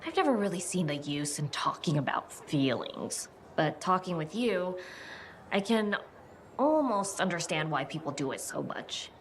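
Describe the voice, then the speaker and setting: Reserved game heroine, low voice, slow and hesitant, subdued, faint ambience behind. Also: female voice, very animated, a little hiss or hum. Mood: guarded, tender. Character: reserved, apologetic woman who avoids talking about feelings. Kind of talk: video game dialogue, quiet conversation.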